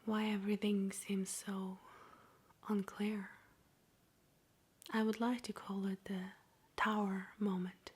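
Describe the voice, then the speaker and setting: A woman speaks in a hushed, very breathy whisper, as in a guided ASMR meditation. Her pace is slow, with long pauses, and her pitch stays flat and even. The delivery is gentle and soothing.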